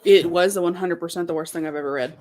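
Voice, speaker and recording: A woman's voice: on the low side, steady tone, fast talker, darkish tone. A woman chatting casually in a teasing, blunt way as a book reviewer on a live stream. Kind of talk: casual chat.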